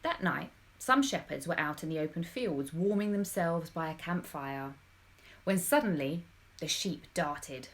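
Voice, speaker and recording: A woman with a slightly low voice reads a storybook to children. She tells it expressively and with drama, addressing her young listeners directly. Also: lively intonation, unhurried. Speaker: storybook reader.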